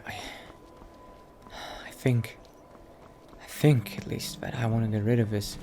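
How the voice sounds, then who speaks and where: A man playing an anxious young mage apprentice in a video game roleplay, talking haltingly and repeating himself. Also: on the low side, a little mellow, a little hiss or hum, lightly acted. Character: anxious young male mage apprentice fearing he is becoming a vampire.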